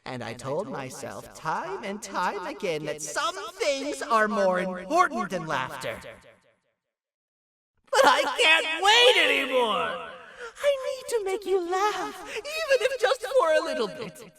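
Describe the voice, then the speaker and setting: Manic game jester monster: very high voice, slow theatrical delivery, craves his queen's laughter. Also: wildly swooping pitch, exaggerated voice. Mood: desperate, pleading. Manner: theatrical, swinging from shouts to hushed brooding. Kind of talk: video game character acting.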